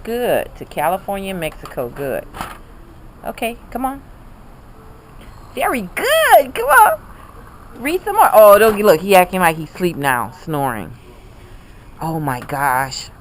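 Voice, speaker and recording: A woman's voice: lowish voice, sing-song, a little slow, long pauses, a little hiss or hum, rough recording. A middle-aged or older woman, a grandmother, casually coaxing a child through reading with encouragement, exclamations and repeated prompts. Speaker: grandmother coaching a child to read.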